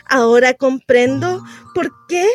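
A woman's voice: sits high, slow pace, soft background music. A woman acting a theatrical monologue as a nervous, indignant pregnant woman in a bitterly ironic, conversational one-sided dialogue. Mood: dismayed, indignant.

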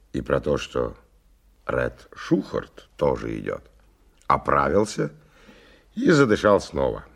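An actor playing a hard-bitten, world-weary stalker who narrates a radio drama in a wry, colloquial, husky voice. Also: male voice, low-pitched, heavily acted. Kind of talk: 1990 Russian radio drama, first-person narration.

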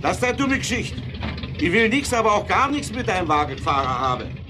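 A man playing a gruff, stubborn merchant in an audio drama, talking bluntly and colloquially. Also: high voice, sing-song, a little fast, background noise. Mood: dismissive.

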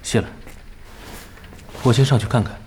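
An actor playing a composed, precise vice-captain of a gaming team in an audio drama, speaking tersely. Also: male voice, medium pitch, steady tone, moderate pace, darkish tone, a little hiss or hum, close to an everyday voice. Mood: composed, polite.

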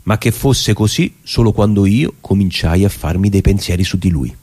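A man's voice: low voice, moderate pace, warm tone, breathy edge. Man reciting a literary text on stage. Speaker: stage performer.

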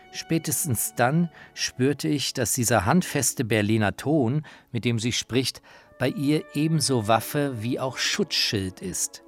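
A man narrates a documentary from a script in a mid-range voice. Faint opera music plays behind him. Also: medium pitch. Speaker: author and narrator.

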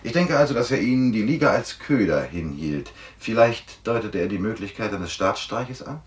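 An actor playing a shrewd, relentless police investigator in a science fiction radio drama, speaking with insistent, deliberate emphasis. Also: male voice, on the low side, lively intonation, recorded from a distance, close to an everyday voice. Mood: accusing, confident. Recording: roomy studio echo.

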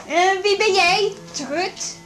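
An actress playing a brazen, mocking alcoholic wife in a radio drama, with a provocative, slurring manner. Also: female voice, very high voice, takes it slowly, fairly bright tone, faint music behind, full character voice. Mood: belligerent. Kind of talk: Dutch 1980s radio drama acting.

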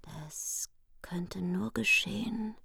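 A scheming vengeful queen for radio drama, slow hushed whisper, creaky and super breathy. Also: mid-range voice, no room sound, clean studio sound, full character voice. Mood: vengeful, intense. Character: brooding vengeful queen plotting against her enemy.

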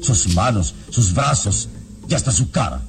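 An actor in a radio drama playing a solemn, relentless and eloquent heroic investigator who questions in formal, theatrical diction. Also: male voice, on the low side, big pitch swings, measured pace, over music. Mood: accusing. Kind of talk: classic Latin American radio drama acting.

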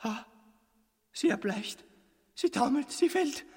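A woman's voice: sits high, expressive, slow, warm tone. A woman playing a melodramatic fourteen-year-old orphan avenger, declaiming in mock-tragic style in a radio melodrama. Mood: wailing, anguished. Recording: long reverb, faint noise.

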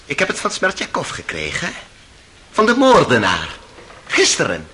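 An actor playing a feverish, half-mad young intellectual on the witness stand, sardonic and erratic, in a radio play. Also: male voice, a little high, wildly swooping pitch, moderate pace, bright, forceful tone, full character voice. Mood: bitter, mocking. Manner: sardonic, erratic, theatrical, with bitter laughter. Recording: noisy old radio play recording.

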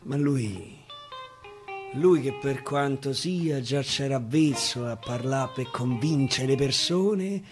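A male stage actor with a Roman accent recites dialect verse theatrically, swinging from hushed to loud. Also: medium pitch, slow. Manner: dramatic, swinging from hushed to shouting. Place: radio studio. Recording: clear guitar music underneath. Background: plucked guitar accompaniment.

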